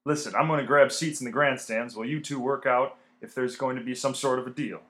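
A man's voice: medium pitch, steady tone, fairly quick, fairly bright tone, lightly acted. A man playing a hard-nosed, condescending showbiz agent in a radio play. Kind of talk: old-time radio play acting.

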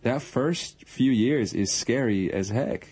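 A man's voice: medium pitch, takes it slowly, a little mellow, husky. A young man, a guest on a radio interview, talking earnestly about organising basketball teams, often restarting and correcting himself. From born in Iran, raised across the Pacific and Europe.

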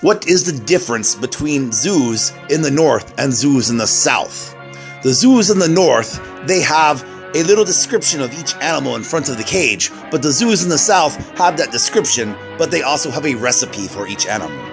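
Male horror host, gravelly creak, theatrical joke-telling, faint music behind. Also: medium pitch, animated, normal speed. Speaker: monster movie show host presenting a joke segment.

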